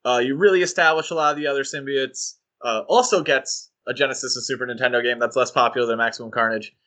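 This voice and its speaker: A man co-hosting a podcast, talking in a casual, animated, rambling way with fillers and restarts. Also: mid-range voice, fast talker. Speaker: podcast co-host.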